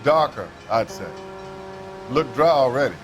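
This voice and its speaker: An actor playing a plain-spoken, folksy railway worker in a crime game, colloquial and matter-of-fact. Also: male voice, medium pitch, animated, measured pace, over music, natural voice. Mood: curt, impatient. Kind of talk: cinematic game acting.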